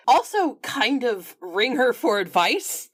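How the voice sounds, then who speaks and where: A woman's voice: on the high side, big pitch swings, takes it slowly. A woman playing a tabletop roleplaying game, casually describing what a character does.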